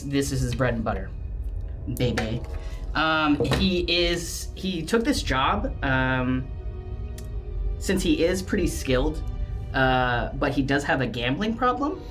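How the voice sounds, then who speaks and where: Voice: medium pitch, a bit bright. A tabletop roleplaying player chatting casually about the game in a slangy, playful manner.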